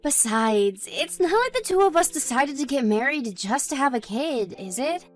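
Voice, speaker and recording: A woman playing a gentle, modest young wife in a scripted audio story, talking in a reassuring, explanatory way. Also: high voice, very animated, normal speed, soft background music.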